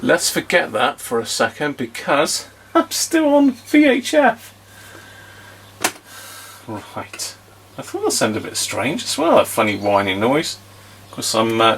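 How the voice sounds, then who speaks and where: A man's voice: medium pitch, sing-song, measured pace, a bit bright, a little hiss or hum. A man giving casual off-the-cuff commentary to himself while testing a radio, as a hobbyist host. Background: shortwave radio playing.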